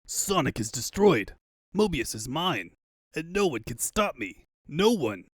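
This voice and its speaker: A man's voice: a little high, sing-song, measured pace, no room sound, clean studio sound. A man voicing a pompous, bullying mad-doctor villain in a comic dub, with a grandiose, menacing delivery. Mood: gloating, outraged.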